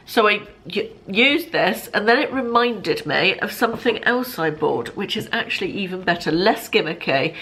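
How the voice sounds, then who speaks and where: A British woman in her fifties vlogging casually to camera, chatty and rambling, sounding slightly bunged up, in a bright living room. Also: medium pitch, a little hiss or hum, low-quality recording. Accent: British English. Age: 50s. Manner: chatty, friendly, rambling with fillers and self-corrections. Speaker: lifestyle vlogger.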